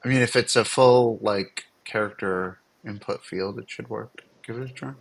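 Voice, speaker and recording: A man's voice: fairly low pitch, moderate pace, creaky, husky, rough recording. A man chatting casually with colleagues as a developer on a livestream. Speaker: game developer co-hosting a livestream.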